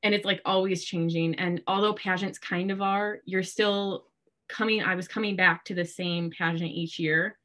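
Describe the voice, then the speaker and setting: Low-pitched young American woman, chatty. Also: fairly even intonation, moderate pace, sharp tone. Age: early 20s. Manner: chatty, heavy use of "like". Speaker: interview guest. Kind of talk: casual chat, personal storytelling.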